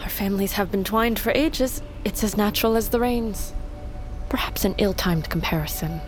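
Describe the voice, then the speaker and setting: An actress playing a caring, loyal young woman in an audio drama, with gentle, earnest and formal phrasing. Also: mid-range voice, normal speed, music in the background, lightly acted. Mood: tender. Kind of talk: audio fiction drama acting.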